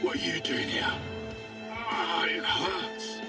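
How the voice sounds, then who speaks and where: Under loud music, a wounded mercenary in a dramatic game scene. His strained, fairly high voice lurches up and down with pain and desperation. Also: very animated, takes it slowly, recorded from a distance. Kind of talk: dramatic video game acting.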